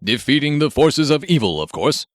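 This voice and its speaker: A man's voice: medium pitch, sing-song, normal speed, no echo, studio quality, pitched above the performer's own voice, close to an everyday voice. A man voicing a pompous, earnest superhero who speaks in formal, declamatory sentences with stubborn optimism, in an audiobook. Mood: proudly confident.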